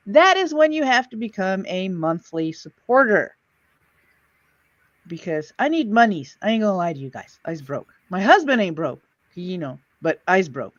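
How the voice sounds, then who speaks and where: A woman's voice: lowish voice, no echo. A woman hosting a live stream in casual chat, joking and self-deprecating in a colloquial way. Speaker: host of an old time radio stream. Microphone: close dry mic on a live stream. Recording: slightly dull online stream mic.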